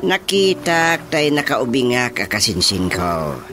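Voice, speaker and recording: A man playing a stubborn old husband in an Ilocano radio comedy-drama, with an insistent, expressive delivery. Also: medium pitch, unhurried, soft background music. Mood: wistful, explaining. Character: stubborn old husband longing for a quiet place.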